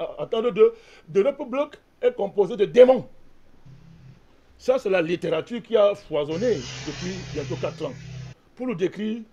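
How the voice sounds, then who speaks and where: A man's voice: high-pitched, dark tone, highs cut off. A man from Cameroon, a panelist in a heated political debate, arguing insistently and keeps speaking to the host by name. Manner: insistent, argumentative, repeats a direct address to the host.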